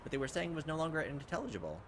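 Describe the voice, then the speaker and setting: A man's voice: a little high, very animated, very fast, a bit bright, slight background noise. A man hosting a let's play, reading game text aloud and adding casual, wry asides. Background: game music.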